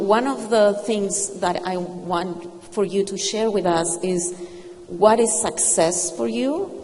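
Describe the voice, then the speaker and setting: A woman's voice: medium pitch, mellow. Middle-aged woman moderating in a hall. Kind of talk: panel discussion. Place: event hall with audience. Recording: reverberant hall with faint ambience.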